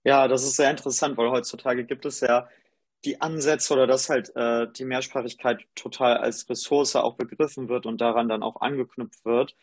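A man's voice: medium pitch, steady tone, normal speed, no echo. A man interviewing, asking long, rambling questions full of fillers. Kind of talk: conversational interview.

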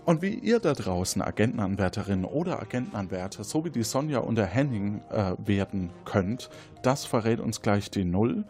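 Animated male quiz host. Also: lowish voice, moderate pace, soft background music. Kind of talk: light quiz show moderation.

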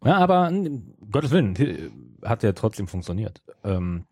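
A man's voice: on the low side, sing-song, moderate pace, a little mellow, husky, no room sound, clean studio sound. A man in casual podcast chat, musing hesitantly and hedging as a co-host.

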